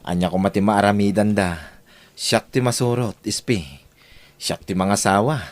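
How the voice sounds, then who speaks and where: A man's voice: lowish voice, normal speed, close to an everyday voice. A man acting a devoted, insistent young son in love in an Ilocano radio drama. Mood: ardent, reassuring.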